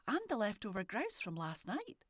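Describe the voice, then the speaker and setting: A woman with a Scottish accent voicing a young girl who pleads eagerly in a high, breathless voice. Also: huge pitch swings, normal speed, husky, no room sound, clean studio sound. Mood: pleading.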